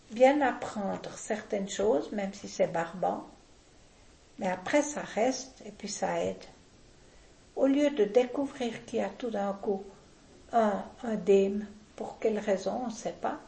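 Older lady, super gravelly, keeps restarting sentences, roomy echo. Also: mid-range voice, mellow. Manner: deliberate, opinionated, frequent restarts. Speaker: interviewee. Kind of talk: casual reminiscing interview.

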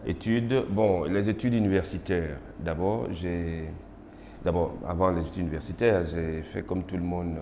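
A middle-aged man from Côte d'Ivoire with an Ivorian French accent, whose first language is Baoulé, answering formal interview questions in a measured way with many hesitations. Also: sits low, steady tone, mellow. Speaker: interviewee, magistrate. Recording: noisy roomy recording with long reverb.

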